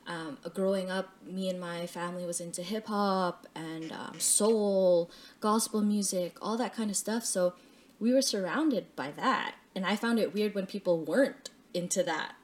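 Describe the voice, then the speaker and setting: A woman in a relaxed conversation, with a voice of middle pitch. She talks in a reflective way, thinking aloud and restarting her sentences as she goes. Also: measured pace. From Canada. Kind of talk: casual conversation.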